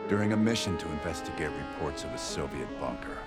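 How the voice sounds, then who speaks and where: A man playing a hard, relentless middle-aged American CIA interrogator in a video game, gruff, terse and commanding. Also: very deep voice, expressive, quick pace. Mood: controlled, pressing. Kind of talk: cinematic video game acting. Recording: loud music bed under the dialogue.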